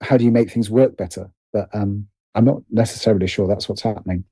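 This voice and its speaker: A man's voice: lowish voice, fast, warm tone, husky, no echo. A British man speaking as a podcast guest in casual conversation, hesitant and thoughtful as he circles around his points. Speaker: podcast guest, writer and producer.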